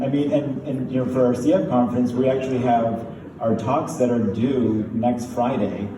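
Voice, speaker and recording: Rambling man speaking from a distance. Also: medium pitch. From Turkey. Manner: rambling, many fillers and restarts, laughing warmly. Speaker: pulmonologist colleague giving tribute. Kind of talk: informal tribute speech. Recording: distant echoey room, faint noise.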